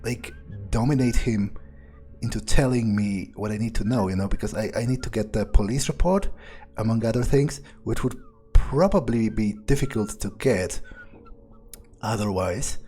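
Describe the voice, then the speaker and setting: Low, mellow man musing aloud. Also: normal speed, faint music behind. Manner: musing, hesitant, trailing off. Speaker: let's player speaking in character as a vampire. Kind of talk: in-character game playthrough, thinking aloud.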